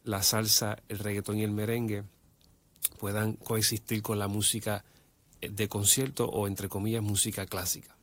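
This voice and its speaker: A man with a lowish voice hosts an interview. He asks questions with interest and chimes in with his own remarks. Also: little pitch movement, moderate pace, a bit bright. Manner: engaged, adds his own knowledge. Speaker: interviewer host.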